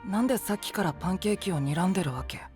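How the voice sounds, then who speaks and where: High, cheeky, animated boy. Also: rapid-fire, soft background music. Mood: teasing. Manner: teasing, frank, pouty. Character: cheeky, picky, boyish young man devoted to his twin. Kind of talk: Japanese anime-style voice drama.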